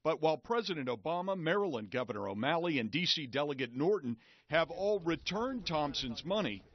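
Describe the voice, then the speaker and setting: A man reading scripted news narration in a measured, steady manner. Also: fairly high pitch, no echo. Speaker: news reporter voice-over. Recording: dull lo-fi broadcast audio.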